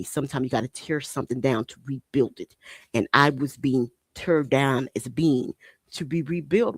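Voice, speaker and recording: An impassioned host from Haiti, somewhere in their forties, speaks with emphatic conviction, hammering home points through rhetorical questions and repetition. The voice sits at a middling pitch, and the sound is close and dry with almost no room echo. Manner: emphatic, rhetorical questions, repeats phrases for effect. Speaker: podcast host. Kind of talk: impassioned podcast monologue.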